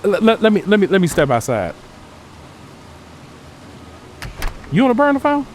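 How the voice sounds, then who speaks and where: A man's voice: high-pitched, huge pitch swings, quick pace, background noise. An actor playing a sly male gang boss in an audio drama, probing and scheming.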